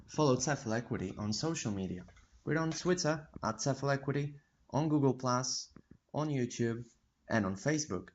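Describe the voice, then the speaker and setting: A man reading a scripted promotional outro in a steady, informative way. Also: mid-range voice, dull audio. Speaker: channel announcer.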